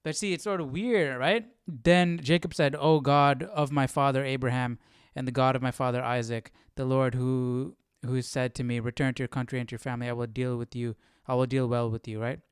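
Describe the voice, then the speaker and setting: A man's voice: mid-range voice, fairly even intonation, fairly quick, clean tone, no echo. Man, casual live commentary, questioning study host, clean resonant voice, close dry sound. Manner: questioning, thinking aloud, often ending on "right?".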